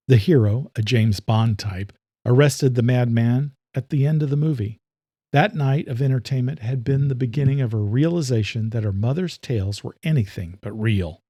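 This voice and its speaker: A man narrating a horror-thriller story in a measured, steady storytelling manner. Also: mid-range voice, breathy edge, no room sound, clean studio sound. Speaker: narrator and author. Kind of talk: scripted audiobook narration.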